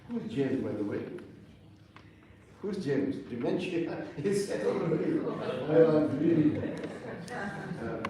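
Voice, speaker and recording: A man's voice: on the high side, very animated, slow, long pauses, warm tone. Chatty man in an echoey hall. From India. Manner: chatty, self-deprecating, joking asides. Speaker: event speaker introducing the host. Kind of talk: formal introduction speech with asides. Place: large hall with audience. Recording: echoey hall, distant mic, faint noise.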